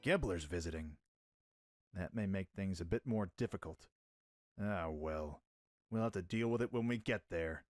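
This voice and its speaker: A man playing a calm, knowledgeable traveler in an audio drama, explaining things in a measured way. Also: sits low, no echo, soft background music, lightly acted. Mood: concerned. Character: calm, knowledgeable male traveler guiding companions. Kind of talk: radio-play acting.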